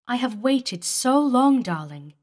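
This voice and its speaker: A woman's voice: fairly high pitch, sing-song, takes it slowly, a little mellow, voice pushed up, full character voice. Sorrowful aging man, pleading and emotional, read by a woman. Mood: yearning.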